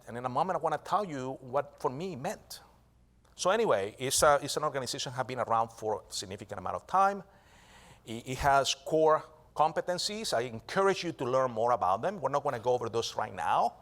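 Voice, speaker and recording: A man giving a live keynote talk, animated, with frequent restarts and self-corrections, often asking the audience "right?". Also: mid-range voice. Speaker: keynote speaker.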